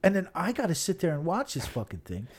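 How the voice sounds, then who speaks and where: Male co-host, higher-pitched and lively, profane comic griping in casual conversation. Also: quick pace, breathy edge. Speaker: podcast co-host. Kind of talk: casual chat.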